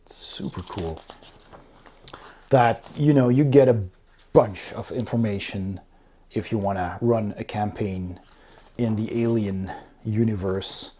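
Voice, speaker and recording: A man's voice: lowish voice, slow pace, long pauses, mellow, husky. A man giving a casual, unscripted review as host, with an informal, enthusiastic way of talking. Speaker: board game reviewer, host.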